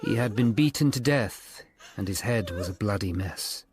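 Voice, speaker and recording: A man's voice: fairly low pitch, lively intonation, normal speed, crowd noise, natural voice. An actor playing a sombre Tudor lawyer who narrates his investigation in a radio drama.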